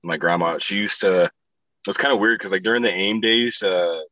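A man's voice: sits low, fairly quick, sharp tone, no echo, dull audio. A laid-back man chatting casually, rambling through family stories and laughing often. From South Dakota. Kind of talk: casual chat.

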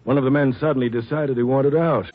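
An actor playing a world-weary, sympathetic prison warden in a 1950s radio drama, plain-spoken and given to short phrases. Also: male voice, medium pitch, fairly even intonation, fast talker, natural voice. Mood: regretful. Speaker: supporting actor. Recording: thin, narrow-band old radio recording.

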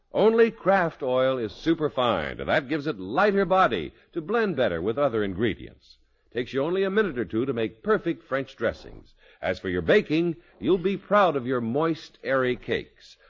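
An American man announcing ads and credits in 1950s radio style, with a polished, emphatic sales delivery. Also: medium pitch. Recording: dull vintage radio recording. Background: music.

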